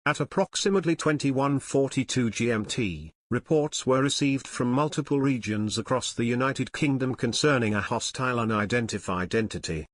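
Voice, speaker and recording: A man reading a scripted emergency announcement as a broadcast announcer in a formal, measured manner. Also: medium pitch, dry recording.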